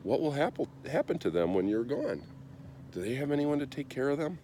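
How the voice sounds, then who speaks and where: A man voicing a kind, earnest young prince in a storybook, tender-hearted and expressive. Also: mid-range voice, normal speed, a little hiss or hum, pitched above the performer's own voice, lightly acted. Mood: gently concerned.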